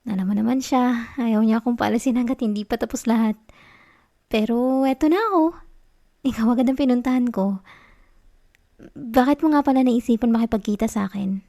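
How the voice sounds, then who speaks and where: Woman acting as a young Filipino girlfriend, clingy and anxious, high-pitched, mellow, soft coaxing delivery, intimate ASMR roleplay. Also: no echo, studio quality, natural voice. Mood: sweet, slightly nervous. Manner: soft, coaxing, halting, affectionate.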